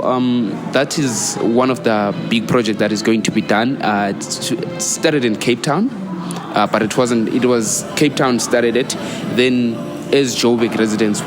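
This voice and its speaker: Lower-voiced South African man, rambling. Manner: rambling, fills pauses with "you know" and restarts. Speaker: guest, student volunteer. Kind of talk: casual interview talk.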